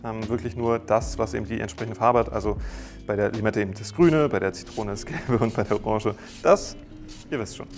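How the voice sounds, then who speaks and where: A young man hosting a cooking video, explaining each step in a friendly, chatty way with small jokes, recorded on a lapel mic in a bright home kitchen. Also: fairly low pitch, a little fast, faint music behind. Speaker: cooking channel host. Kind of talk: casual cooking tutorial.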